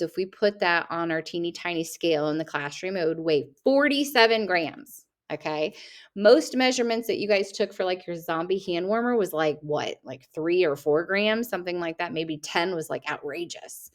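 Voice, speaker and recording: A woman's voice: fairly low pitch, moderate pace. A woman teacher reading test questions aloud to her students, patiently asking them to choose answers. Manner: patient, asks questions back to the listener. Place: at a computer, screen recording.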